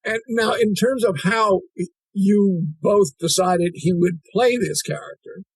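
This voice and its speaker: A man's voice: high-pitched, a little slow, breathy edge, no echo, rough recording. A male interviewer asking probing questions, often restarting his sentences. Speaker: interviewer, host.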